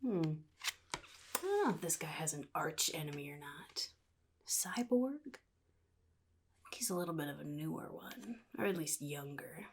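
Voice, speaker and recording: A woman playing a sly, chaotic supervillain in a soft-spoken ASMR roleplay, often dropping into a breathy whisper with a casual, menacing drawl. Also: deep voice, very animated, unhurried, pauses often, slight background noise, full character voice. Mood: sly, musing. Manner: casual drawl, offhand, slyly threatening.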